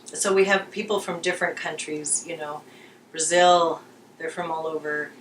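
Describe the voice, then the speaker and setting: A woman volunteer giving a documentary interview, talking in a blunt, deliberate way. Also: deep voice, steady tone, reverberant. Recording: distant mic with faint noise.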